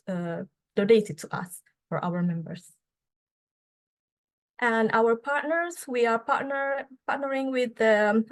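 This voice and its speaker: Woman presenting with hesitant fillers. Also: on the high side. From Indonesia. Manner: halting, many fillers and restarts. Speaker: student association speaker. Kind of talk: conference presentation.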